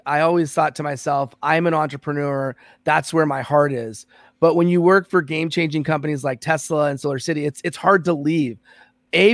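A man's voice: a little high, no echo, studio quality. A man born in American Samoa and raised in Los Angeles, a podcast guest telling his career story in an earnest, reflective way. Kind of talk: conversational interview.

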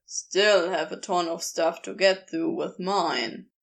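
A woman's voice: fairly low pitch, fairly even intonation, slow, creaky, pitched below the performer's own voice. A woman voicing a casual, irritable man who talks in a clipped, slangy, dismissive way in an audiobook story. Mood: offhand, irritable. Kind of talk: audiobook character voice.

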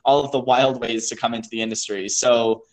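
A man's voice: medium pitch, fairly even intonation, brisk, low-quality recording. A man hosting a screenwriting podcast, chatting casually with a guest in a rambling way, full of fillers and restarts. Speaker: podcast host. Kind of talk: casual interview chat.

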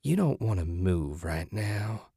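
A man voicing a menacing, sanctimonious, depraved woman in an audiobook, with a raspy, taunting delivery. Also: mid-range voice, huge pitch swings, no echo, studio quality, voice pushed up, heavily acted. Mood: threatening. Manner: taunting, sinister, drawn-out threats. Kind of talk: dramatic audiobook character voice.

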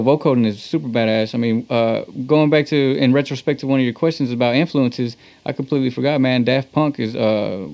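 Male interview guest, slangy musician in relaxed conversation, slightly lo-fi muffled sound. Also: medium pitch, gravelly. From Texas. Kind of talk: casual chat.

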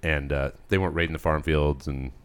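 A man's voice: a bass voice, fairly even intonation, a little fast, clean tone. A man hosting a podcast in casual, joking chat, often teasing and chuckling as he talks. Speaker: podcast host.

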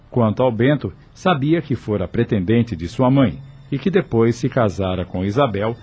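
Expressive low-voiced male narrator. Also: husky. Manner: measured, expressive storytelling. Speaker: narrator and announcer. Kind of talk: scripted radio drama narration. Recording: music beneath the narration.

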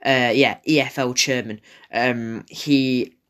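A man's voice: medium pitch, steady tone, takes it slowly, fairly bright tone, smooth. A man from England with a Wigan accent, hosting his own podcast in a casual, rambling solo monologue. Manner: rambling and digressive, hesitant. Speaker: podcast host.

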